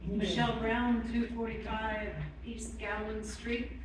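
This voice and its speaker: A woman's voice: on the high side, flat delivery, measured pace. A woman resident making an informal, chatty announcement at a town meeting. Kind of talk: casual public announcement. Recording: echoey hall, far mic, background noise.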